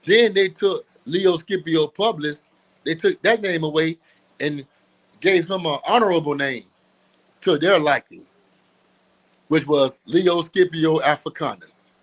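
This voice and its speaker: An emphatic man lecturing with a slightly high-pitched voice. He talks in a preachy way, repeats his phrases for weight and laughs often between points. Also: a bit bright, low-quality recording. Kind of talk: street-teaching talk.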